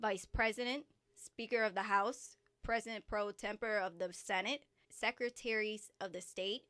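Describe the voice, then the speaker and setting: A composed female government agent in an audio drama, played by an actress, with a crisp, bright voice at a medium pitch. She is formal and deferential, yet earnest and insistent. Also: steady tone, normal speed, natural voice. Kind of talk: amateur audio drama acting.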